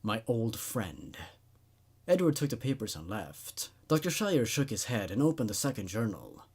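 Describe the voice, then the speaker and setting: A man's voice: medium pitch, sing-song, brisk, a little mellow, husky. A man reading a horror story aloud as host, in a dramatic narrating style.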